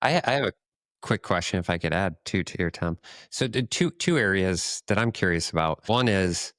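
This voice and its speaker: A man's voice: low voice, animated, moderate pace, fairly bright tone, no echo, clean studio sound. A man co-hosting a podcast, asking casual questions in a roundabout, hedging way. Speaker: podcast co-host.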